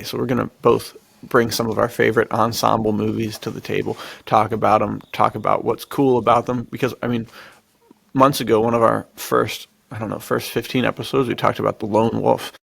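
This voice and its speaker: A man's voice: mid-range voice, gravelly, dry recording. A man co-hosting a podcast in casual chat, with a jokey manner. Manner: jokey, laughs through his talk. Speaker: podcast co-host.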